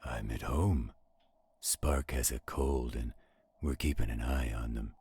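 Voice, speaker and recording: A man playing an easygoing, concerned male friend in a roleplay audio drama, with a very deep, dark, raspy voice and a casual, laid-back manner. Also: steady tone, slow pace, full character voice. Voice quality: very deep, dark, rough gravelly rasp.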